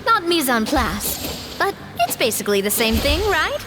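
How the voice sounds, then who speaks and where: A lively, teasing young woman with a high, animated voice. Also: normal speed. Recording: game audio with clear music.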